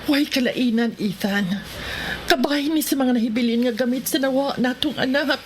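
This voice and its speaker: A woman's voice: sits high, normal speed, faint music behind, lightly acted. A woman playing an impatient mother yearning for her lost child, in an emotional, insistent radio drama style. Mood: urgent. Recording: noisy background ambience.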